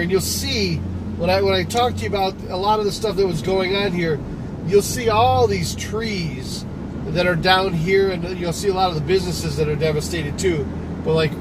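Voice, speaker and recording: A rambling man with a fairly high voice chats with his viewers while he drives. The recording is noisy, with clear road and car sound underneath. He keeps restarting his sentences as he talks. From Michigan, USA. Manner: rambling, conversational, with frequent restarts and fillers. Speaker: livestream host. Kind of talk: casual live-stream talk while driving. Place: inside a car, driving.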